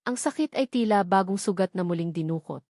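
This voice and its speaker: A woman's voice: medium pitch, animated, brisk, dark tone, dry recording. Female narrator for scripted first-person drama, close dry recording. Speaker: story narrator.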